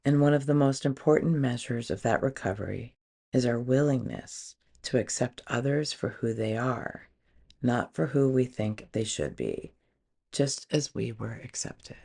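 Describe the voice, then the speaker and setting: A woman's voice: very deep voice, a little slow, mellow, husky. A woman reading a daily recovery meditation aloud as a guest on a podcast.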